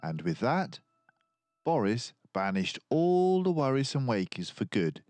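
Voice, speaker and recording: Expressive man reading a children's storybook. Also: medium pitch, unhurried, dry recording. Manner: animated, acts out dialogue. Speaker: children's book reader. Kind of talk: expressive storybook read-aloud.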